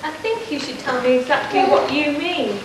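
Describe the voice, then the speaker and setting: Proud young shoemaker's daughter, played by a woman, amateur stage pantomime, distant echoey sound, clear background noise. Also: sits high, normal speed, lightly acted. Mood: indignant.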